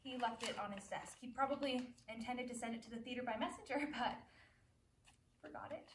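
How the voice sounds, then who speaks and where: Woman acting a high-voiced, distraught young wife. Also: a little fast, close to an everyday voice. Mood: wounded, subdued. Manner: pleading, tearful, bitterly self-deprecating. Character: distraught, jealous, pleading young wife confronting a rival. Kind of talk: one-act stage play acting. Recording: echoey room from far off, noisy.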